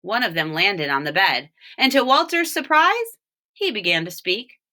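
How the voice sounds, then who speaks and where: A woman reading a children's story aloud expressively, with deliberate emphasis on key words. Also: medium pitch, sing-song, pushed and bright. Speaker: storybook reader, teacher reading for home learning.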